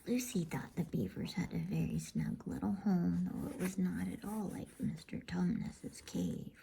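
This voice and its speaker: A woman storyteller reading a bedtime story in ASMR style with a soft, hushed whisper. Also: on the low side, huge pitch swings, normal speed, gravelly. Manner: soft whispering, soothing hush.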